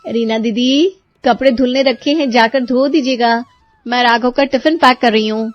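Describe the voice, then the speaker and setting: A woman's voice: on the high side, fairly even intonation, normal speed, no room sound, lo-fi sound, soft background music, pitched above the performer's own voice, lightly acted. Woman playing a bossy young bride, sarcastic. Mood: commanding. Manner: commanding, sarcastic. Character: bossy, sharp-tongued young new bride ordering in-laws around. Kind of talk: cartoon story dialogue.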